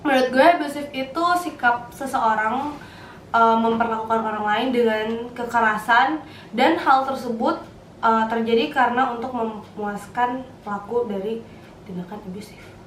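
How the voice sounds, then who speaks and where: A woman's voice: on the high side, moderate pace. An eighteen-year-old woman student, speaking in a casual panel discussion. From Indonesia. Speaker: university student. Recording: roomy, distant mic, background noise.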